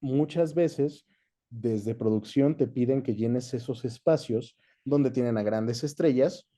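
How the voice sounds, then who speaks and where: Mexican guy being interviewed, really dark mellow voice, mid pitch, explains stuff in a measured chatty way. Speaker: interview guest, voice actor and dubbing director. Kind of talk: casual explanatory conversation.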